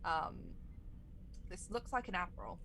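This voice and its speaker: A woman game master narrating a tabletop role-playing game, describing scenes in halting phrases. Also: on the low side, sing-song, creaky, a bit bright, a little hiss or hum, poor audio.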